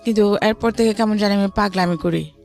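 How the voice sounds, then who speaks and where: Bangladeshi woman telling her life story in an interview, normal pitched voice, bit of music under it. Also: brisk, vocal fry, a little mellow.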